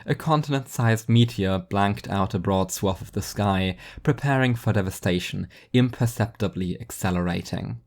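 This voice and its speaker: A man's voice: on the low side, moderate pace, gravelly, hardly any pauses. A man reading scripted fiction as an audiobook narrator.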